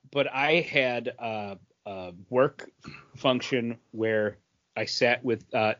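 A man co-hosting a podcast, telling things casually in a halting, conversational way. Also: mid-range voice, lo-fi sound. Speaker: podcast co-host. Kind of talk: casual chat, telling anecdotes.

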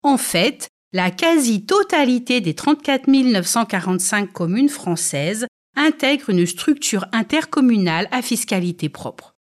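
Lively French woman presenting an explainer. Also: fairly high pitch, normal speed. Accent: French from France. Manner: didactic, structured, poses questions then answers them. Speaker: podcast presenter and editor. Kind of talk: scripted educational explainer.

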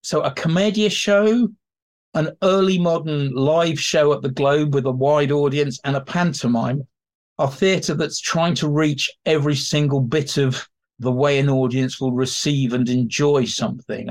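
A man speaking as an expert guest, explaining informally in an animated way and slipping into acted-out examples. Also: on the high side, moderate pace. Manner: animated, acts out imagined quotes. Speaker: expert guest, theatre historian. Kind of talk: informal explanatory interview talk.

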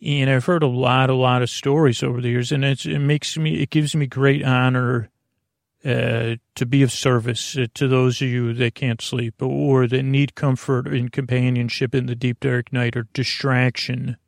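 Male sleep-podcast host: fry-heavy voice, halting, wandering casual chat, very dry close recording. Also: medium pitch, level tone, dry recording. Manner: meandering, halting, trails off and goes off topic. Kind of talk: rambling casual monologue to help listeners fall asleep.

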